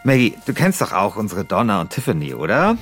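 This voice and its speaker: A woman's voice: a bass voice, big pitch swings, a little fast, soft background music. A woman playing a proud, theatrical hairdresser who reminisces and chats in a radio play. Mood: nostalgic, proud. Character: proud, chatty hairdresser, nostalgic for disco days.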